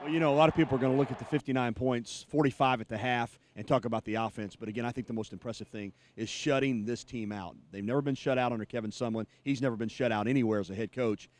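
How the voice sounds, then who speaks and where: Male sports director on location, very quick talker, mid-range voice, emphatic game summaries. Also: mid-range voice. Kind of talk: broadcast sports report and discussion.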